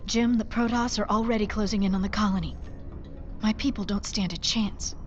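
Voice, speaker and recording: An actress playing an earnest, imploring colony doctor in a video game. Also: female voice, medium pitch, fairly even intonation, a little fast, close to an everyday voice. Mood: earnest, worried. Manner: urgent, imploring. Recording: dull game audio under music.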